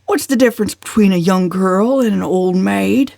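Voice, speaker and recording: A woman's voice: medium pitch, normal speed, vocal fry, mellow, no room sound, lightly acted. A self-important older uncle from a storybook, acted out by a woman, jovial one moment and indignant the next. His talk has a growling edge and a pompous manner, and the sound is dry and close. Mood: jovial, teasing.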